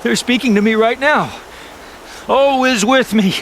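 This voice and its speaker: High-voiced, tormented, halting outcast. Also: male voice, a bit bright, slight background noise, heavily acted. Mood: haunted, troubled. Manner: halting, repeating, stammering. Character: tormented outcast man hearing spirit voices, fragile, desperate. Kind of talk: video game voice acting. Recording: music under the voice.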